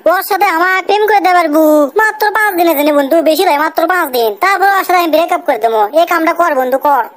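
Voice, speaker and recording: Cartoon teen son, played by a man: very high pitched-up voice, rapid, whiny, brash. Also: fairly bright tone. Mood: scheming. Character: lazy, excitable, scheming teenage village son. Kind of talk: comic cartoon dialogue.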